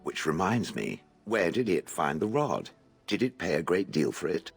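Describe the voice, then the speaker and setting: An actor playing an ancient, grumpy stone golem in a video game, speaking with haughty, blunt, dry sarcasm. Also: male voice, low-pitched, very animated, normal speed, creaky, husky, faint music behind, heavily acted. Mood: scornful, dry. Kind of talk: video game dialogue acting.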